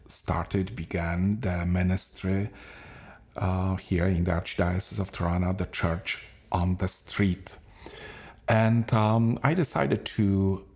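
Male podcast host, very low voice, slow, halting, many fillers. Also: darkish tone. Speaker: parish priest and podcast host. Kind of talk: podcast announcement and interview.

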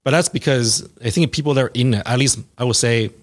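Halting man in his forties. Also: medium pitch. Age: 40s. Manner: halting, many fillers and restarts. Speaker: interviewee. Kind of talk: casual conversational interview.

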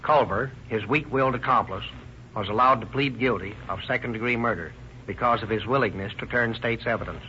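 A man giving a formal, measured, scripted narration in 1930s radio style. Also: medium pitch, pushed and bright, slight background noise. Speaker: police chief narrating. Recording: dull old radio recording with music.

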